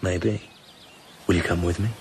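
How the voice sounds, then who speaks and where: An actor playing a cool, suave British secret agent in a radio drama, understated and brooding. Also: male voice, low-pitched, fairly even intonation, normal speed, background noise. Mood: businesslike.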